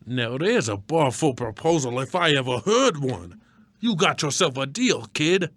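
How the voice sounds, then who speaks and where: Man acting slow, animated, gullible producer. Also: a little high, exaggerated voice. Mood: delighted. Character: gullible, bumbling male producer, eager sports fan. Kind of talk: scripted radio comedy sketch.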